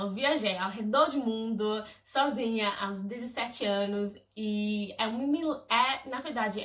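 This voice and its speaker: A young woman from England, a native English speaker, talks casually to camera. Her tone is bright and her manner gushing and effusive. The sound is echoey, with the mic set back from her. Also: mid-range voice, far from the mic. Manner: effusive, gushing, lively storytelling. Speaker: vlogger.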